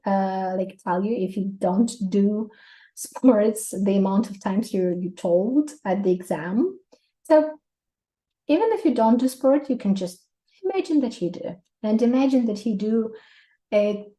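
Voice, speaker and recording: Mellow-voiced Russian-accented woman teacher. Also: mid-range voice, poor audio. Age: 30s. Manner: lively, laughs often, explains with examples. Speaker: English teacher. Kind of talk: exam prep lesson.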